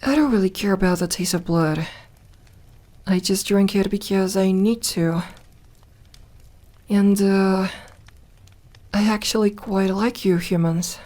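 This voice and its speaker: A woman playing a lonely, lovelorn vampire in an intimate ASMR audio roleplay, gentle and wry, speaking softly with hesitant pauses. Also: mid-range voice, steady tone, natural voice. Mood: wry, reassuring. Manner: hesitant, soft-spoken, slow with pauses.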